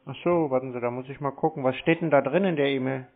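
A man's voice: mid-range voice, quick pace. A man chatting casually on the phone, asking questions in a naive, puzzled way. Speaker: prank caller baiting phone scammers. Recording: tinny, narrow phone line.

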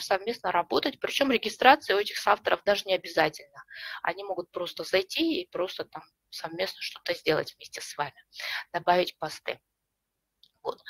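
A middle-aged woman presenting an online webinar from home, explaining a tool step by step in a friendly way with frequent pauses and fillers, a slight cough lingering. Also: mid-range voice, gravelly, a bit bright, no echo. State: recovering from a cough. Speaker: webinar presenter, librarian and teacher. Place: home room with bookshelves. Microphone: webcam video call.

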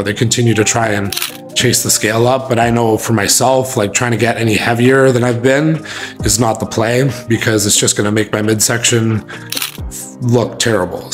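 A man in his thirties, a bodybuilding coach, chatting casually to camera in a small room, his voice a little raspy from a cold, rambling with many fillers. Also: mid-range voice. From Canada. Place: small bright room indoors.

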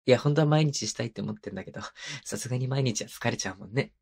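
Breathy, tender young husband, acted by a man. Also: mid-range voice, rapid-fire. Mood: bashful, happy. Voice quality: soft, breathy. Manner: murmuring, playful, coaxing. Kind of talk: intimate ASMR roleplay voice drama. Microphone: close microphone, binaural.

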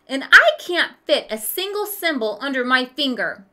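Woman reading as an earnest, bright-voiced schoolboy. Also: sits high, sing-song, normal speed, voice pushed up, heavily acted. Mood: frustrated. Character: earnest, determined blind teenage schoolboy.

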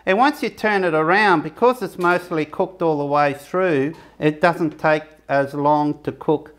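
A man's voice: on the high side, unhurried, fairly bright tone. A man giving a casual, rambling cooking demonstration for his camping review channel, often restarting his sentences. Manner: chatty, rambling, self-correcting.

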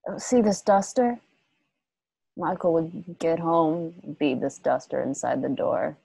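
An actress plays a quietly resolute western widow in a radio drama. Her low voice is slow and mellow, with halting, terse phrasing that keeps grief guarded. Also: gravelly, breathy edge, no echo, exaggerated voice, rough recording. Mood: wistful, tender. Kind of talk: radio play acting. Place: home, on a group video call.

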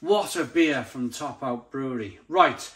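A man presents an informal tasting review in a medium-pitched voice. He speaks off the cuff, straight to the viewer, with open enthusiasm. He likes to linger on descriptive words and repeat them as he savours what he is describing. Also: a little slow, a bit bright. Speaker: beer review channel host. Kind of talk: casual unscripted tasting review to camera.

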